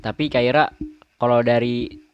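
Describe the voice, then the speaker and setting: A man's voice: medium pitch, steady tone, normal speed, smooth, dull audio, soft background music. A man hosting a casual podcast, chatty and full of questions, often adding tag words. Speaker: podcast host.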